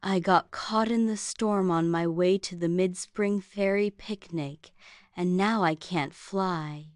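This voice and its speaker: A woman voicing a small, timid, sweet fairy with a gentle, plaintive manner in a children's bedtime story. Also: lowish voice, takes it slowly, dry recording, studio quality. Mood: worried, timid.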